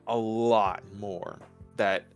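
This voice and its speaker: A man's voice: fairly low pitch, fairly even intonation, extremely slow, smooth, faint music behind. A young man chatting casually as a guest co-commentator. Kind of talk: casual chat.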